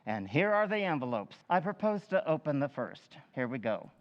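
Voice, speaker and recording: A man's voice: on the high side, very animated. Man playing a formal town official, echoey hall. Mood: formal, suspenseful. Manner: measured, declamatory public address. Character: formal, deliberate town official chairing a public meeting. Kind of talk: old-time radio drama acting. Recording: echoey hall, slightly dull sound.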